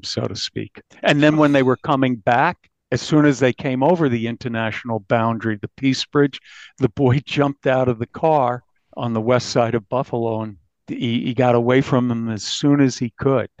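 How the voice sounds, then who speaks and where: A man speaking as an investigative journalist in an interview, recounting a crime case in a halting but emphatic conversational way. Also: medium pitch, steady tone, darkish tone, no room sound. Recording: dull, band-limited audio.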